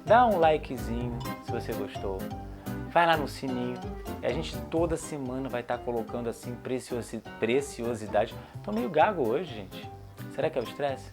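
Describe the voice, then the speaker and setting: A Brazilian man with a medium-pitched voice speaks informally as the host of an educational series. He addresses his viewers directly in a chatty, conversational way. Faint music plays behind him. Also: expressive, moderate pace. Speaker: psychologist hosting an educational video series. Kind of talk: informal talk to camera about emotions.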